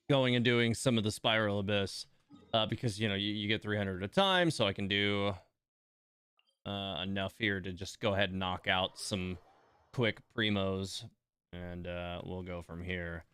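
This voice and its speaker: Low-voiced man casually commentating a game. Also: measured pace, long pauses, smooth, dry recording, studio quality. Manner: thinking aloud, relaxed and informal. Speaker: game streamer and host. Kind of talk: casual live gameplay commentary.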